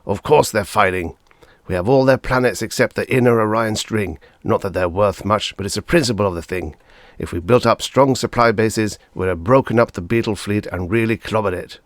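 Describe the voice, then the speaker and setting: A male audiobook reader voicing an angry, bitter, hawkish father with savage, forceful outbursts. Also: fairly low pitch, moderate pace, no echo, lightly acted. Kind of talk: audiobook character voice.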